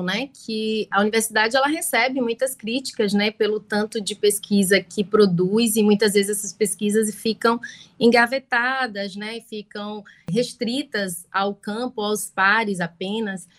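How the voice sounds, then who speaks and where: A woman from Salvador with a Bahian Brazilian accent answers as an academic guest in an interview, often adding small tag questions as she goes. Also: a little high. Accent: Bahian Brazilian Portuguese. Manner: frequent tag questions seeking agreement.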